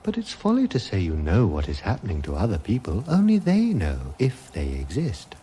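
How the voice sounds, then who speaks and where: Vague gentle hermit, low mellow voice, musing radio comedy style, questions everything. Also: male voice, huge pitch swings, moderate pace, a little hiss or hum. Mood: detached. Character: vague gentle male hermit philosopher, doubtful of all reality. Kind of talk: British radio comedy drama acting.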